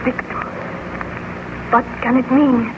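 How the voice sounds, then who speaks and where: A woman's voice: sits high, fairly even intonation, slow pace. An actress playing an anxious, protective woman with a fretful, pleading, theatrical delivery in 1930s radio horror style. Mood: frightened. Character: anxious, protective woman, scientist's loved one. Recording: muffled, noisy old 1930s radio recording.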